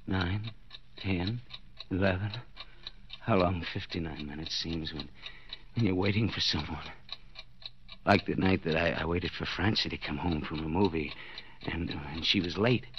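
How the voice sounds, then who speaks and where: An actor playing a desperate, jealous husband in a 1940s radio suspense play, halting and intense, repeating his words. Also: deep voice, a bit bright, muffled recording. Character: desperate, jealous husband, a clock repairman trapped bound in cellar. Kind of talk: 1940s radio suspense acting, inner monologue. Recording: noisy vintage 1940s radio recording.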